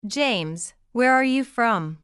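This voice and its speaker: A woman reading one side of a scripted English lesson dialogue, speaking in careful, clearly separated simple sentences. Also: on the high side, expressive, takes it slowly, fairly bright tone, dry recording, studio quality. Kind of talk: slow scripted practice dialogue.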